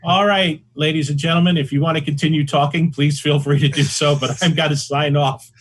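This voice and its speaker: A man's voice: a little high, little pitch movement, fairly quick. A man casually hosting an online club meeting from home on a video call microphone. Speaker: meeting host. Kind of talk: casual chat.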